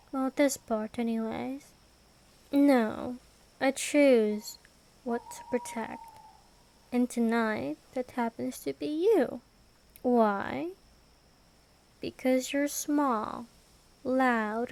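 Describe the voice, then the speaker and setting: A woman acts out a female vampire queen in an intimate ASMR roleplay. Her voice sits high and moves very slowly, each phrase deliberate. She is imperious and teasing, a predator who is possessively protective. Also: creaky, darkish tone, full character voice.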